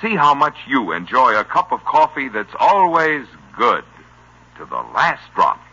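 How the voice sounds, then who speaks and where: A man's voice: medium pitch, sing-song, a little slow, background noise. An American man reading a commercial as an announcer in 1950s radio style. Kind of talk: 1950s radio advertising read. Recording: muffled old radio broadcast.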